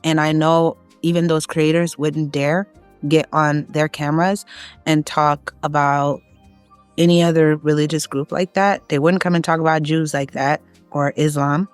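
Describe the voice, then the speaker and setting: Emphatic low-voiced woman from Haiti. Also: fairly even intonation, a little slow, clean tone, no room sound, faint music behind. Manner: emphatic, rising in pitch when making a point. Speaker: online content creator. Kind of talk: opinionated casual monologue.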